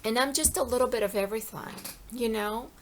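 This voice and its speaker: A woman's voice: a little high, fairly quick, a little hiss or hum, low-quality recording. A middle-aged woman in an impassioned personal monologue. She rambles and repeats herself, with many "you know"s, and pleads with her viewers. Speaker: vlogger.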